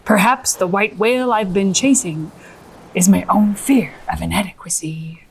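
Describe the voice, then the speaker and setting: A woman's voice: mid-range voice, moderate pace, creaky, a little hiss or hum, lightly acted, rough recording. A woman voicing a brooding whaler puppet, solemn and wordy, in a theatrical children's show. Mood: reflective. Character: brooding, formerly vengeful old-time whaler puppet, newly reflective.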